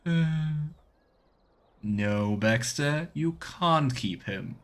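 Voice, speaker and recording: Casting: man, medium voice, relaxed livestream game commentary, voicing on-screen options as he goes. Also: medium pitch, extremely slow. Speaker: streamer, let's player. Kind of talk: casual game commentary, reading dialogue choices aloud.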